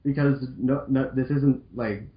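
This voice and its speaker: A man's voice: medium pitch, fairly even intonation, a little slow. A man giving casual, exasperated, sweary commentary as co-reader of a fanfiction. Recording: dull lo-fi mic in echoey room.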